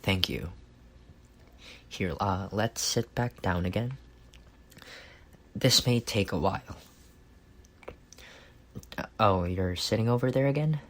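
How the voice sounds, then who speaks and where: A man acting a reserved, awkward and earnest best friend in an intimate roleplay, speaking softly and haltingly. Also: lowish voice. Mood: worried, pleading. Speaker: voice actor.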